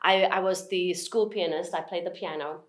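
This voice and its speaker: A woman's voice: on the low side, fairly even intonation, moderate pace. A woman in her forties from Hong Kong, with a mixed British and American accent, talking as a guest in a casual interview and acting out her own thoughts with restarts and laughs. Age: 40s.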